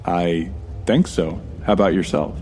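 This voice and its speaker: An actor playing a wry, bewildered American traveler in an audio drama, with a casual, deadpan delivery. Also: male voice, deep voice, measured pace, slight background noise. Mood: casual, curious. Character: wry, bewildered American male traveler stranded among ghosts. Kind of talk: audio drama acting with first-person narration.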